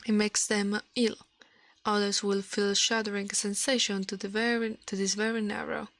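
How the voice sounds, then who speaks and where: A woman reading a fairy tale aloud in a soft ASMR style, careful and a little halting, close to the microphone. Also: mid-range voice, dry recording.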